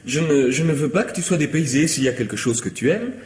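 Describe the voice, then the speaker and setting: An actor playing a smooth, manipulative lover in a radio mystery, coaxing and insistent, slipping into commands. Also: male voice, on the high side, animated, fast, a little mellow, a little hiss or hum, lightly acted. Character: smooth, manipulative male lover greedy for valuables. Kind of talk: theatrical French radio mystery acting.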